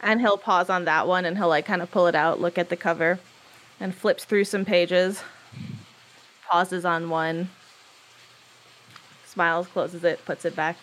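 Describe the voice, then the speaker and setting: A woman's voice: lowish voice, steady tone, unhurried, pauses often, a bit bright, low-quality recording. A woman running a tabletop game as game master, narrating casually with hesitant, filler-heavy speech.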